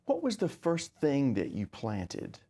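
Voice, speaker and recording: A man's voice: mid-range voice, wildly swooping pitch, slow, warm tone, slightly rough. An American man speaking as an interview host in a formal, welcoming talk-show style.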